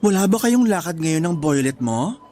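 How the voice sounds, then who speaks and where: An actor voicing a woman who is a teasing, blunt office friend, with exaggerated, drawn-out delivery in a radio drama. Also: on the low side, very animated, mellow. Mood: playful, teasing. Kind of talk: Tagalog radio drama dialogue.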